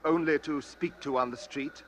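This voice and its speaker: An actor playing a nervous, genteel, suspicious bachelor in an old-time radio drama, hesitant and stammering but polite. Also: male voice, fairly high pitch, fairly even intonation, moderate pace, natural voice. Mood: uneasy. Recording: faintly noisy old radio recording.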